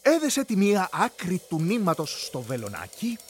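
A man's voice: high voice, huge pitch swings. Wry man reading aloud over music. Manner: wry, exasperated asides. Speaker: reader of a play's solutions. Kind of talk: live reading to an audience. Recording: music playing behind.

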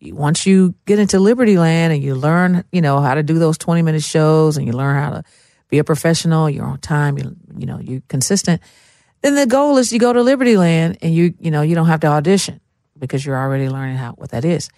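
A woman's voice: sits low, fairly quick, warm tone, no room sound, clean studio sound. A middle-aged woman from Memphis, telling stories casually and with emphasis as an interview guest. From Memphis, Tennessee, USA.

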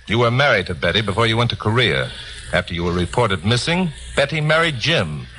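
A man's voice: on the low side, sing-song, a little fast, sharp tone, a little hiss or hum. A man acting a cool, sardonic investigator hero in a 1950s radio crime drama. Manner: sardonic, breezy banter. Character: wisecracking hard-boiled male investigator hero, cool under threat. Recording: old 1950s radio recording with music.

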